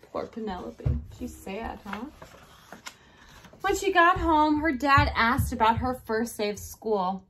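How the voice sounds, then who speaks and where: A woman kindergarten teacher reads to young children in a slightly high voice that moves up and down a lot. She takes her time and speaks right to the children, checking in with questions. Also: slow, slight background noise. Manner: expressive, addressing children directly with check-in questions. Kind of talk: read-aloud storytelling for young children. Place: small decorated indoor room.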